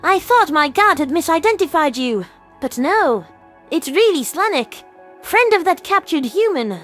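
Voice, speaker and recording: A man's voice: very high-pitched, very animated, unhurried, pushed and bright, faint music behind, pitched above the performer's own voice, exaggerated voice. A man voicing a haughty, scornful female avian alien ambassador in a sci-fi audio drama, indignant and disdainful.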